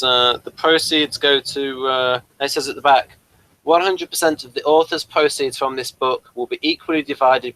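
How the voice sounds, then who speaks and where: Man, bright voice, mid pitch, review host, casual chat, rambling delivery. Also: rough recording.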